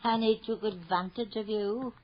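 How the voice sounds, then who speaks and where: A woman's voice: medium pitch, steady tone, normal speed, a little hiss or hum, close to an everyday voice. An actress playing a cheerful older housewife and mother in a radio drama, playful and wry. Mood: fond, teasing. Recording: dull old radio broadcast.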